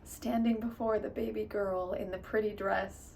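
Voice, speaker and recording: A woman's voice: medium pitch, steady tone, normal speed, darkish tone. Woman performing an emotive monologue, distant mic. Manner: emotive, emphatic, with big dynamic swings. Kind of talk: theatrical dramatic monologue. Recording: distant mic with clear background noise.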